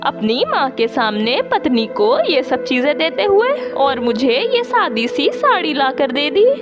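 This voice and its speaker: A jealous older Indian mother-in-law, played by a woman in a cartoon family story. She is bossy and boastful, with a high, swooping voice that takes its time over music. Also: very animated, a little slow, dull audio. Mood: scolding. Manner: scolding, boastful. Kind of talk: Hindi moral-story cartoon dialogue.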